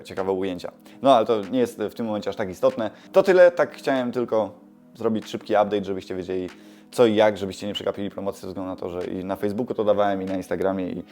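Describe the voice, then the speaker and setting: A man with a lowish voice talks casually to his viewers as a video creator. He explains things informally and rephrases himself along the way. Soft music plays faintly behind him. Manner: informal, self-correcting, explanatory. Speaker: YouTube creator and host. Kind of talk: casual vlog update to camera.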